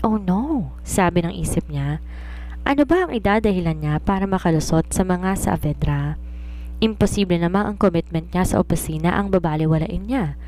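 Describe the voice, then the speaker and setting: A Filipino woman reads a romance novel aloud as a storyteller. Her voice sits a little low for a woman, and she follows the script in narration style. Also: brisk, dark tone, slight background noise, poor audio.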